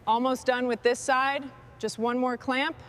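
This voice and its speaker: A woman with a fairly high voice talks herself through restoration work. Her remarks are short, clipped and approving. Faint ambient and vehicle noise sits behind her. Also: fairly even intonation, unhurried. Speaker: restorer narrating own work. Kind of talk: short task commentary during vehicle restoration.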